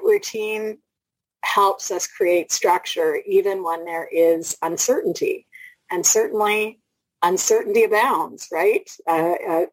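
A woman co-hosting a podcast in a conversational, reflective way with frequent asides and a slightly husky voice. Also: medium pitch, dry recording, rough recording. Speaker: podcast co-host.

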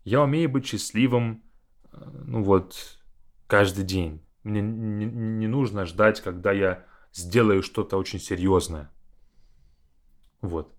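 A man, a Russian teacher hosting a podcast, talking in a casual, thoughtful monologue, slow and deliberate. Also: on the low side.

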